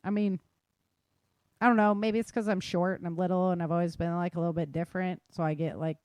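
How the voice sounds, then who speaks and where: A woman's voice: fairly low pitch, fairly even intonation, fast talker, darkish tone, no echo. A woman hosting a podcast in casual chat, talking in an informal, slangy and self-deprecating way. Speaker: podcast host.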